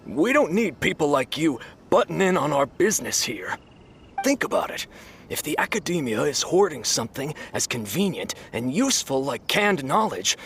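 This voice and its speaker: A defiant petty male crook in a video game. The voice is creaky and swings widely in pitch as he blusters and argues, over faint noise. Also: a bit bright, full character voice. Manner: hesitant, blustering, argumentative. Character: shifty, defiant male small-time buyer of illicit goods. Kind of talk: English video game voice acting.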